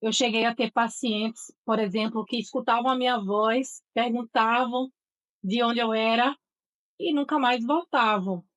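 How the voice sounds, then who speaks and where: A middle-aged woman with a clear Northeastern Brazilian accent speaks in a fairly high register. She tells her story as an interview guest, with assured, articulate delivery. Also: unhurried, fairly bright tone. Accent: Northeastern Brazilian (Sergipe). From Aracaju, Sergipe, Brazil. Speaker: guest, voice and speech therapist.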